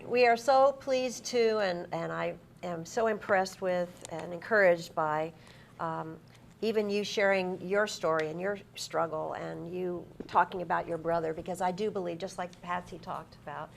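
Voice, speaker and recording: A woman's voice: on the low side, fairly even intonation, moderate pace. A middle-aged woman hosting a live on-stage interview in an effusive, encouraging manner. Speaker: interviewer, host. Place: TV-style desk set before a live audience. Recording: clear background noise, live event.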